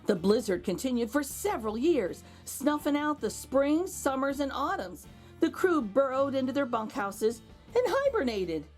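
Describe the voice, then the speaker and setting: High-pitched, animated woman reading to children. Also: normal speed, soft background music. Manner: animated, with exclaimed asides to young listeners. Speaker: children's read-aloud host. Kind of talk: expressive picture book storytelling.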